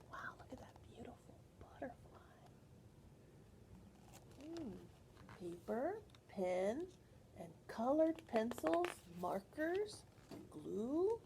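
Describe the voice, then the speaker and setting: A woman reading a picture book aloud to children, expressive, pausing to ask questions and explain like a teacher. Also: sits high.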